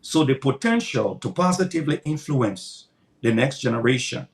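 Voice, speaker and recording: A man's voice: mid-range voice, lively intonation, moderate pace. An older man, a pastor giving earnest, emphatic interview answers with a preaching cadence, often repeating words as he builds his points.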